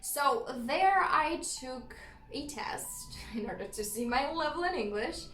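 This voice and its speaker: High-voiced Romanian-accented woman, echoey. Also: expressive, a little slow, a bit bright, slight background noise. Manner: chatty, laughs often, frequent ums. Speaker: YouTuber. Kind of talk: casual storytime vlog.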